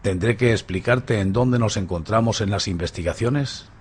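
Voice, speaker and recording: A man's voice: lowish voice, moderate pace, a little hiss or hum, natural voice. A man playing an amused, straightforward police inspector in a radio drama, with a direct, questioning manner. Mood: earnest.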